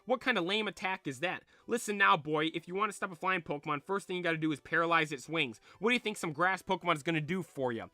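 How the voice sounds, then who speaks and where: Man doing a folksy, excitable rat creature, pitched up. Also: fast, few pauses, dry recording. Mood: scolding. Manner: folksy, blustering, bossy.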